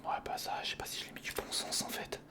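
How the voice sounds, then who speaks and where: An ASMR creator, speaking in a breathy whisper close to the microphone, casually talking through hands-on work and repeating short phrases. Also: fast. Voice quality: breathy, mostly unvoiced whisper. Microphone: close studio recorder microphone.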